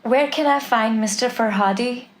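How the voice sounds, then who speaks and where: A woman plays a young niece in a drama script read aloud. She is upset and pleading, with a somewhat high voice as she argues her case to her uncle. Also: moderate pace, natural voice. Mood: questioning. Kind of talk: screenplay table read.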